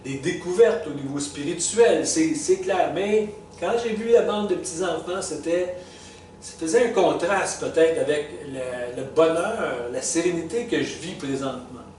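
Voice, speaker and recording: A man in his sixties with a Québécois French accent, thinking back over the stages of his life in a halting, casual way, full of fillers, restarts and small chuckles. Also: on the high side, a little mellow, recorded from a distance. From Quebec. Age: 60s. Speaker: retired vlogger reflecting on life stages. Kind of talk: casual spoken reflection. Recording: echoey room, speaker well back from the mic, faint hiss.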